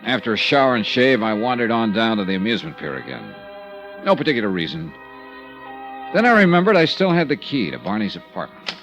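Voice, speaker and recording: A wry tough-guy investigator, low voice, lots of swing, old radio w music. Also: a little fast, lo-fi sound. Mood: narrating. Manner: wry, hardboiled, lively delivery. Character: hardboiled male insurance investigator, wry. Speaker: narrator and lead. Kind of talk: 1950s radio drama acting.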